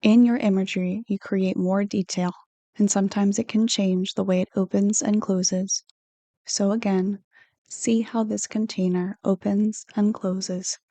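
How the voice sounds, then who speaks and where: A woman reading a guided meditation script in a soothing, unhurried, gently instructive manner. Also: medium pitch, level tone, no echo. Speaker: counselor hosting guided meditations.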